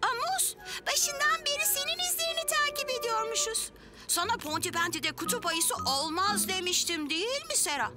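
A woman's voice: very high voice, lively intonation, normal speed. A woman voicing a plucky young child in a cartoon dub. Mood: teasing. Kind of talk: Turkish cartoon dub acting. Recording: loud music under the voice.

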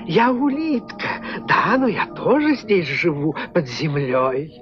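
An actor voicing a friendly, philosophical little snail in a dubbed cartoon, calming and deliberate. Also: male voice, fairly high pitch. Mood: calm, wry. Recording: muffled old film soundtrack with music.

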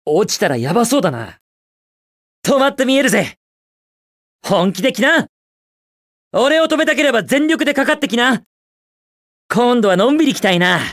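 Young video game hedgehog speedster, cocky, carefree, cool slangy quips, highly animated intonation, close dry recording. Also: male voice, normal speed, long pauses, dry recording, full character voice. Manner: cool, brash, slangy, quippy. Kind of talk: Japanese video game character voice lines.

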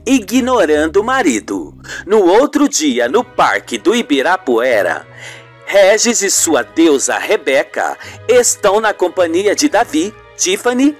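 A man with a Brazilian accent giving scripted narration for a radio soap. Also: high-pitched.

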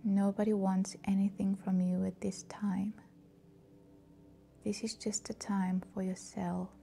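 A woman leading a scripted guided meditation in a soft, breathy, soothing and calm voice. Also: medium pitch, a little slow.

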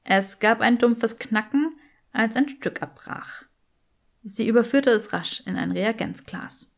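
A woman's voice: fairly high pitch, slow pace, gravelly. Woman narrating an audiobook. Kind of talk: scripted fan fiction narration.

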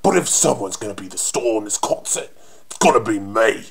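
A man voicing a cocky, flirty undead punk rocker monster for a game, with a raspy British accent and cheeky taunting slang. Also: lowish voice, expressive, a little fast, exaggerated voice. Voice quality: raspy, husky. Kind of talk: video game character voice demo.

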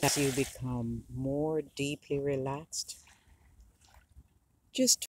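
A meditation guide speaking slowly and soothingly, with long pauses, leading a guided relaxation and reading affirmations aloud. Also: mid-range voice, fairly even intonation, darkish tone, a little hiss or hum. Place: outdoors near the sea.

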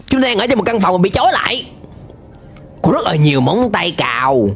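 A man's voice: sits high, very animated, fairly quick, vocal fry, husky. A young man hosting a reaction video, commenting casually and exclaiming at the cartoon he watches. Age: young adult. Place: indoor gaming room. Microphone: close microphone on a boom arm, headset worn. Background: cartoon soundtrack music.

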